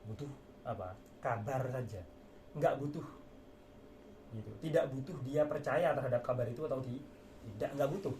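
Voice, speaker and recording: A man's voice: mid-range voice, moderate pace, pauses often, a little mellow. A man giving an informal lecture as a religious teacher, explaining through repetition and rhetorical questions. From Indonesia. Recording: echoey room with faint background noise.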